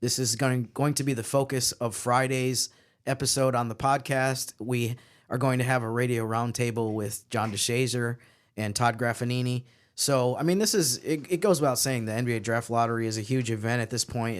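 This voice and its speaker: A man's voice: mid-range voice, steady tone, fairly quick. A man co-hosting a sports podcast, giving casual analysis in a detailed, explanatory way.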